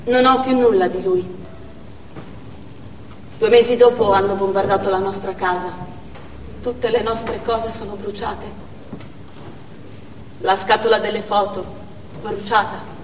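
An actress playing a grieving young Chechen war widow in a stage monologue, speaking in stark, halting short phrases. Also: female voice, mid-range voice, steady tone, distant mic, natural voice. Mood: numb grief. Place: theatre stage, distant microphone. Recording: echoey theatre hall from afar, noisy.

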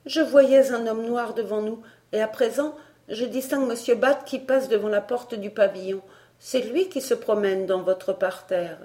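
Woman as an earnest, high-voiced young girl. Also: moderate pace, warm tone, close to an everyday voice. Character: curious, sensible young girl, gently reasoning.

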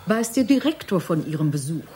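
A woman's voice: on the low side, very animated, fairly quick, dark tone, slight background noise. A woman acting in a German radio play as an officious, unruffled receptionist, formal, insistent and reproachful. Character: officious, unruffled female receptionist guarding a director's anteroom.

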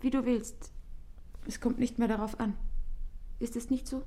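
A female actor's fairly high voice plays a wistful young lover in a radio drama. She sounds fragile and restrained, with a questioning way of speaking. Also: steady tone, normal speed, mellow, natural voice. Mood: resigned, wistful. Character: wistful young woman parting from her lover. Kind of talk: literary radio play acting.

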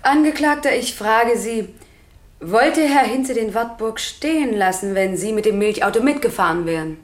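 A woman's voice: on the high side, expressive, normal speed, close to an everyday voice. Actress as a pointed female prosecutor, echoey room. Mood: pointed, sceptical. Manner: pointed, formal questioning. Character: sharp, formal female public prosecutor. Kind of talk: German radio crime drama acting. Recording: roomy, echoing radio play sound.

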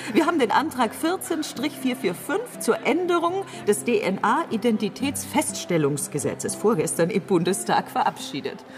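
An actress playing a self-possessed, teasing politician in a radio drama, playful in manner. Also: female voice, on the high side, sing-song, normal speed, hardly any pauses. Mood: amused. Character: cool, grinning, teasing female politician. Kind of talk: radio crime drama acting. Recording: music behind.

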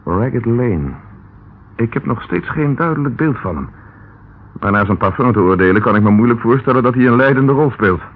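An actor playing a determined, persistent police inspector in a Dutch radio detective drama, questioning formally and insistently. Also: male voice, mid-range voice, animated, moderate pace, slightly rough. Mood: urgent, reasoning. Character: determined male Scotland Yard police inspector, brisk and persistent. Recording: tinny, band-limited, noisy old radio recording.

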